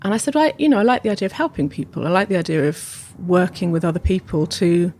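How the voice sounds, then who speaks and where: Low-voiced woman, reflective storyteller. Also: darkish tone, a little hiss or hum. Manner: thoughtful, unhurried, frequent ums and restarts. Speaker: sound therapist and former opera singer, podcast guest. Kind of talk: reflective storytelling in a podcast conversation.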